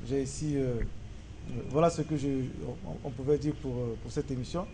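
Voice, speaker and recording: A male talk show host with a Congolese accent addresses his viewers in a mellow voice. His delivery is halting and hesitant, with repeated words, over faint background noise. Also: mid-range voice, low-quality recording. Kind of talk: spoken show wrap-up to viewers.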